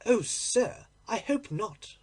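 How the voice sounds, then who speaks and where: A man's voice: sits high, big pitch swings, takes it slowly, darkish tone, breathy edge, pitched above the performer's own voice, exaggerated voice. A man voicing a respectable, chatty landlady in a storybook reading, deferential and forthcoming. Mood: dismayed.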